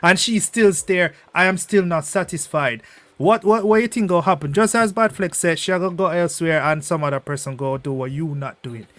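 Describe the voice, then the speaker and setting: A man's voice: a little high, a little fast, a bit bright, no room sound. A Jamaican man on a panel call, cutting in and arguing, repeating words as he presses on. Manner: cuts in, argumentative, repeats words. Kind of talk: heated panel debate.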